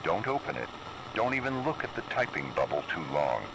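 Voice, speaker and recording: A man's voice: low voice, sing-song, fairly quick. A man narrating a scripted first-person horror story. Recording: clear background noise.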